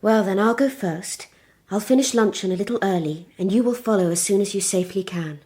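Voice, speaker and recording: Woman acting a young Victorian wife, gentle, trusting, formal soft manner, mid-range pitch, radio drama. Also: fairly quick, lightly acted. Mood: secretive.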